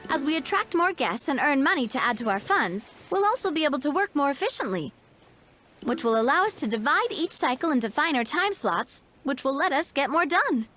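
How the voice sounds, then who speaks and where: High, expressive, upbeat young organizer. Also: female voice, fairly quick, faint music behind, full character voice. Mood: explaining, brisk. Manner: breezy, reassuring, expressive. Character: young woman, upbeat, confident festival organizer and partner. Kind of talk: video game voice acting.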